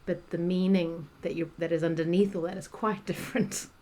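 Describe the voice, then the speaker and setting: Middle-aged South African woman, low-set voice, easy chatty podcast guest. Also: fairly even intonation, brisk, darkish tone. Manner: chatty, many restarts and fillers. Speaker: guest, executive coach. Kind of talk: podcast interview conversation.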